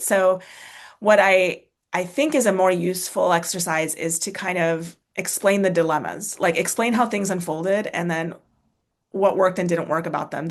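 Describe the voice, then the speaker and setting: An American-born woman, author and speaker, answering questions in a casual talk, thoughtful, with many fillers, restarts and tag questions. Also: fairly low pitch. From United States.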